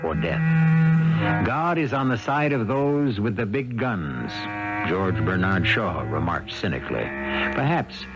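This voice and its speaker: Dramatic low-voiced male radio narrator, muffled. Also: big pitch swings. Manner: measured, literary, dramatic. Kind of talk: 1970s radio scripted narration. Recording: dull, lo-fi old radio broadcast. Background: prominent music.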